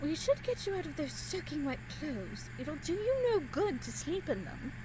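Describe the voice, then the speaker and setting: A woman plays a genteel young lady of eighteenth-century Vienna in an audio drama. Her voice is high, her speech formal, caring and easily flustered into apology. The recording has clear background noise. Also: very animated, normal speed. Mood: tender, soothing. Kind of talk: period romance audio drama acting.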